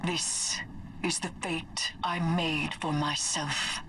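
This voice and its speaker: A woman playing a solemn fallen warrior in a video game, giving a grave, measured farewell monologue. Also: sits low, flat delivery, far from the mic, noisy background. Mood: solemn, tender.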